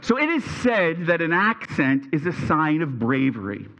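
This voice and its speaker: A man's voice: on the high side, big pitch swings, moderate pace, fairly bright tone, muffled recording. A middle-aged man from Pennsylvania with a Pennsylvania Dutch accent, giving a prepared speech at a reunion and stumbling over his words a little. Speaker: alumni speaker. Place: reunion event hall.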